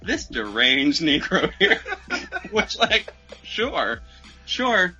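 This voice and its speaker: A man's voice: high-pitched, extremely slow, lo-fi sound, faint music behind. A man telling a story casually on a podcast, swearing freely and acting out what people said. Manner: expressive, acts out quoted remarks. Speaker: podcast speaker.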